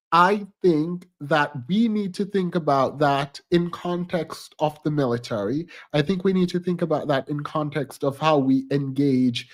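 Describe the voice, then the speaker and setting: A man with a cold talks through the news in an unhurried, chatty way. His voice sits a little high and sounds stuffed up. He muses out loud, pausing on ums and restarting his sentences. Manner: chatty and reflective, frequent ums and restarts, occasional laughs. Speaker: commentary channel host. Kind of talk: casual political commentary.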